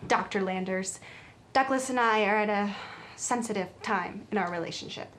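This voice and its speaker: A woman's voice: medium pitch, normal speed, a bit bright, a little hiss or hum, natural voice. A young woman playing a possessive, demanding girlfriend with a curt, insistent manner in a web series. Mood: defensive. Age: young adult.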